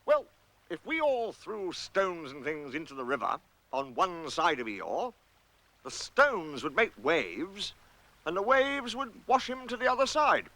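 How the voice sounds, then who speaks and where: A simple-hearted, earnest bear acted by a man, pitched up and bright. He feels his way through his words, humble and tentative, as a children's storybook character. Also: big pitch swings, a little slow, heavily acted, rough recording. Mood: hesitant. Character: earnest, hesitant, humble male bear of little brain.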